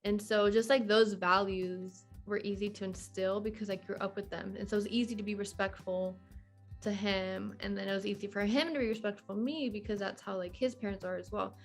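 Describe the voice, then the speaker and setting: A woman's voice: medium pitch, fairly even intonation, brisk, faint music behind. A woman in her twenties talking casually as a podcast guest, in a chatty, informal way peppered with "like". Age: 20s. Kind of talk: casual conversational interview.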